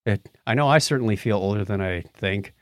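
A man's voice: lowish voice, fairly quick, no room sound, studio quality. A man hosting a podcast, chatting casually and jokily about tech news with theatrical, self-deprecating announcing. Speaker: podcast host.

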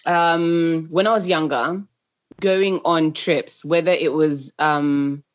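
A woman's voice: low-pitched, fairly even intonation, slow pace, no echo. A woman from Zimbabwe who grew up partly in the UK, answering as an interview guest in a conversational way and rephrasing as she thinks aloud. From Zimbabwe, moved to the UK around age ten. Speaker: podcast guest, travel brand founder.

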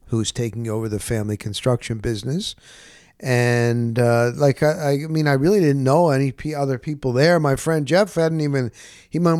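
A man's voice: medium pitch, darkish tone, clean tone, dry recording, studio quality. A middle-aged man hosting a show, talking informally and reflectively in loose, rambling stories. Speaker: interview show host. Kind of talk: casual, reflective monologue and interview chat.

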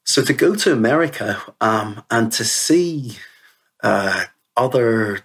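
A man's voice: medium pitch, expressive, slow pace, fairly bright tone, breathy edge. A man in his fifties with a Northern Irish accent, telling personal stories earnestly and conversationally as an interview guest. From Northern Ireland. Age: 50s. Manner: earnest, conversational, peppered with "you know". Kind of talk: reflective personal storytelling in conversation.

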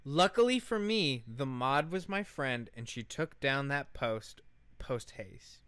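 A man with a clear, smooth voice co-hosts a podcast in a dry, close recording. He speaks at a middle pitch and moves between informal, profane chat and reading aloud. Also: expressive, takes it slowly, fairly bright tone, no room sound. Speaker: podcast co-host.

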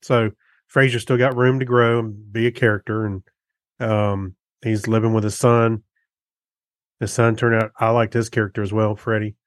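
A man co-hosting a podcast, giving casual opinions on shows in a halting, loosely rambling way. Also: lowish voice, flat delivery, no room sound, clean studio sound. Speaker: podcast co-host. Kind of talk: casual chat reviewing TV shows.

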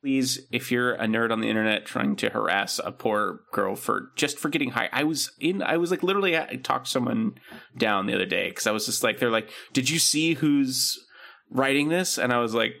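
Gravelly-voiced man chatting casually. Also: mid-range voice, normal speed, pushed and bright. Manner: rambling, many fillers and restarts. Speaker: podcast co-host. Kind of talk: casual chat.

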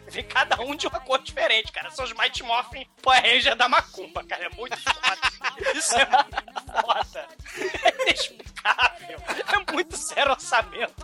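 A man co-hosting a casual, joking film review chat. He gets excitable, laughs often and sometimes shouts. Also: extremely high pitch. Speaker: podcast co-host.

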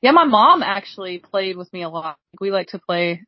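A woman's voice: mid-range voice, sing-song, moderate pace, dry recording. Thirtysomething woman author on a podcast, chatty, laughs a lot, dry kinda dull audio. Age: 30s. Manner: friendly, laughs often, frequent agreeing. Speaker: author guest. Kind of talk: casual interview chat. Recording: dry, slightly dull sound.

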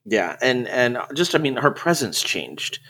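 A man's voice: medium pitch, sing-song, a little slow, sharp tone, low-quality recording. A man chatting informally and enthusiastically on a podcast. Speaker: podcast speaker with theater background. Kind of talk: casual podcast chat.

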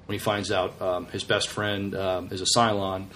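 A man co-hosting a podcast, chatting casually and haltingly, often repeating words as he searches for phrasing. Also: low-pitched, little pitch movement, a bit bright, a little hiss or hum. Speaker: podcast co-host. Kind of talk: casual chat reviewing a TV series.

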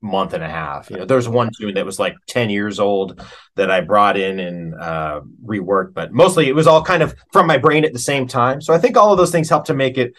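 A middle-aged American man talking casually as an interview guest, telling stories like a working musician, with pauses and emphasis on key words. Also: on the low side, animated, fairly bright tone. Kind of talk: casual conversational storytelling.

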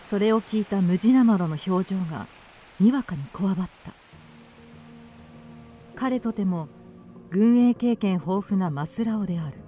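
Mellow woman narrating a period radio drama. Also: medium pitch, lively intonation, normal speed, long pauses, soft background music.

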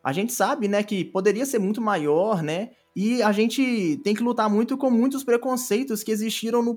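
Um homem com sotaque brasileiro conversando informalmente num podcast, como convidado e criador de audiodrama. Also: male voice, high-pitched. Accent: Brazilian. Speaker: podcast guest, audio drama creator. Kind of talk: casual podcast chat. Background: music.

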